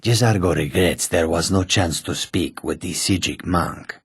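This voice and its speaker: A proud, sly feline mage from a fantasy video game. The voice is deep and very raspy. The phrasing is formal and measured, and the recording is dry and close. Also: male voice, no echo, full character voice. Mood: eager, scheming. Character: proud, sly male cat-person mage, speaks in third person. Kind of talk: video game character dialogue.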